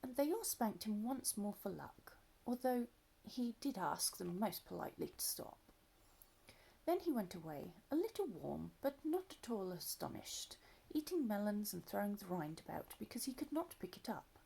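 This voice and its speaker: A woman reads a children's tale aloud with lively, expressive phrasing that swoops up and down. Her voice is mellow, with some gravel and a husky edge, and she drops low for quiet asides to the listener. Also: moderate pace, rough recording. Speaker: storyteller reading aloud. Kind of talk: children's story read-aloud.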